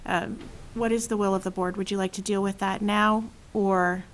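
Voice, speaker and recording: Gravelly-voiced woman chairing a board meeting. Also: medium pitch, fairly even intonation, moderate pace, slight background noise. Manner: polite, procedural, apologetic.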